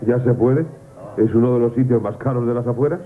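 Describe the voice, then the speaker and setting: An actor from Spain playing a terse, absent-minded husband in radio drama dialogue. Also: male voice, medium pitch, fairly even intonation, fairly quick, lightly acted. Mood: distracted. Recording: tinny, noisy 1970s radio recording.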